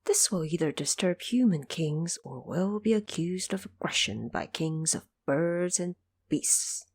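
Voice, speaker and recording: A woman's voice: fairly low pitch, expressive, measured pace, darkish tone, husky, exaggerated voice. A woman voicing a bold, scheming male Monkey King with a confident, commanding manner in an audio drama.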